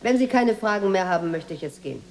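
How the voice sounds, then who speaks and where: A woman's voice: medium pitch, expressive, fast talker, darkish tone, close to an everyday voice. An actress playing a proud, blunt, inquisitive Moroccan woman in a radio drama, speaking in short, direct sentences. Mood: probing, cool. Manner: direct, self-possessed, short probing questions.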